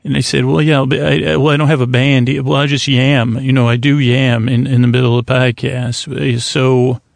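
A man with a creaky voice hosting a bedtime podcast, delivering a silly, meandering monologue with hesitant, looping tangents. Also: mid-range voice, flat delivery, moderate pace, no echo.

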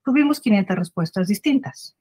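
A woman's voice: medium pitch, animated, a little fast, mellow, breathy edge, no echo, studio quality. A woman hosting a conversational interview, chatty, often closing her points with a questioning tag. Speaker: host.

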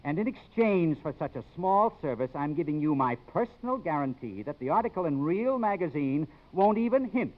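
A man's voice: on the high side, sing-song, moderate pace, hardly any pauses. An American man playing a cocky, bossy, sardonic newsman with glib, insistent delivery in a 1950s radio drama. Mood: smug, glib. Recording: muffled old 1950s radio broadcast.

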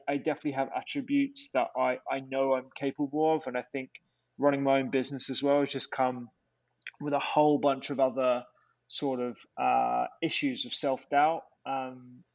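A man speaks as a podcast guest, reflective and hesitant, often restarting his sentences. His voice sits at an ordinary pitch. The sound is dry and a little dull. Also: little pitch movement, normal speed, darkish tone, dry recording. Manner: hesitant, many restarts and fillers. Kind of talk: reflective casual interview talk.

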